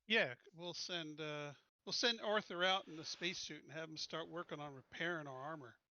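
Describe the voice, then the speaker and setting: A man's voice: on the high side, normal speed, sharp tone, no room sound, muffled recording. A man chatting casually as a player in a tabletop roleplaying game, talking through his choices.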